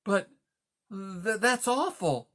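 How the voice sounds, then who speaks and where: A tiny female storybook mouse, voiced by a narrator, timid and anxious. She speaks in a high, soft, hesitant voice that stumbles over its words. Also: sing-song, measured pace, slightly rough, full character voice. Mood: alarmed. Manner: hesitant, stammering. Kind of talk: audiobook character dialogue.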